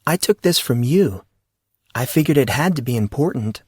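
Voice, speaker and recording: A man voicing a guarded teenage girl with a light, calming, slightly nervous tone in an audio story. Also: mid-range voice, normal speed, slightly rough, no room sound, clean studio sound, pitched above the performer's own voice. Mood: confused. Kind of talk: audio drama character dialogue.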